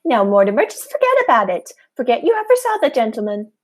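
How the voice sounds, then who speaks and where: Girl playing a high, swooping, genteel old aunt. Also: huge pitch swings, quick pace. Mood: calm, matter-of-fact. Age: teenager. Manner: genteel, gently scolding. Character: sweet, unflappable elderly aunt, primly matter-of-fact. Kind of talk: high school radio play acting.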